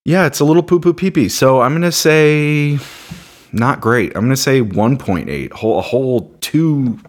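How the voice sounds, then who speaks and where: A man co-hosting a casual beer review podcast, joking crudely with deadpan asides. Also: medium pitch, normal speed. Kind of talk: casual banter.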